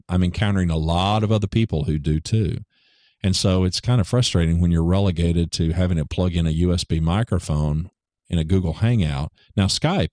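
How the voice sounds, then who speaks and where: A man with a baritone voice talking casually about studio gear, joking and correcting himself, into a studio microphone in a home studio. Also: a little mellow, dry recording. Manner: joking, rambling, self-correcting. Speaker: podcaster explaining studio gear. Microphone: studio microphone through hardware processor.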